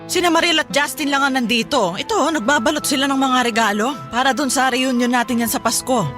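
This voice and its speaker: A woman's voice: high-pitched, quick pace, over music, lightly acted. A woman playing a worried, firm, pleading Filipino wife and mother in a radio drama, coaxing and insistent.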